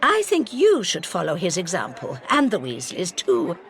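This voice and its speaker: A woman playing a brisk, stern but kindly older teacher in a video game, clipped and proper. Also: fairly low pitch, huge pitch swings. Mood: brisk, encouraging.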